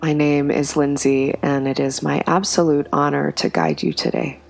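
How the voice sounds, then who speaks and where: A woman guiding a yoga nidra meditation in a slow, soft, soothing way, with long pauses between phrases. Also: deep voice, flat delivery, vocal fry, soft background music. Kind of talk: guided meditation.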